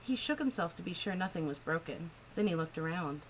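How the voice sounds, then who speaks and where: A woman's voice: fairly low pitch, a little fast. Woman reading a children's story, noisy background. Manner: expressive, clearly phrased, with emphatic swoops. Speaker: storyteller reading a picture book aloud to children. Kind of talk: animated children's story narration.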